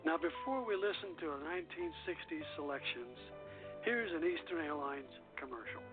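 A man hosting a radio show, reading scripted narration in a somewhat halting way. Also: on the high side, sing-song, creaky, over music. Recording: dull lo-fi radio recording.

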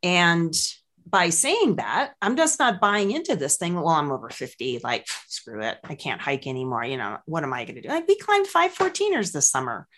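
Animated woman in her fifties. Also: on the low side, moderate pace. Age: 50s. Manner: animated, earnest, swoops up into quoted voices. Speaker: pet health expert. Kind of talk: casual podcast chat.

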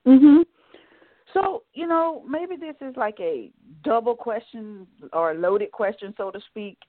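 A woman's voice: on the high side, lively intonation, measured pace, dry recording. A woman hosting a radio show, introducing her guest and asking him questions eagerly. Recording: dry, phone-like line.

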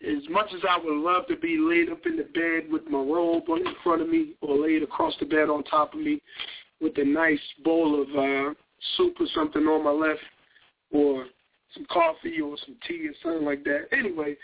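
A man with a hoarse, gravelly voice hosts live talk radio, sitting slightly high in his range. He rambles casually and warmly addresses his audience. The sound is narrow and tinny, like a phone line. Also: very animated, moderate pace. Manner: casual, rambling, chatty greetings to listeners. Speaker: radio show host.

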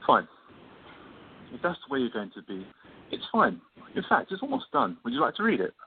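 A man's voice: mid-range voice, wildly swooping pitch, brisk. A man playing a teasing, wry playwright friend in a radio play, with a playful, theatrical manner. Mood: playful, coaxing. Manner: playful, self-mocking, theatrical. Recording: tinny phone-like sound with faint noise.

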